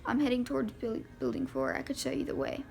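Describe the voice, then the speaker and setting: Woman reading as an eager, creaky-voiced teenage boy. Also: fairly high pitch, sing-song, quick pace, darkish tone, faint music behind. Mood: eager, friendly. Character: gangly teenage boy, overly helpful and chatty classmate.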